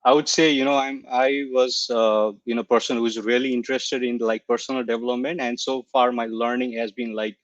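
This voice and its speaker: A young man from Nepal, a guest talking casually in an online interview, searching for words and filling pauses as he goes. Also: mid-range voice, flat delivery, no room sound, highs cut off. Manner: hesitant, searching for words, many fillers. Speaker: coaching client guest.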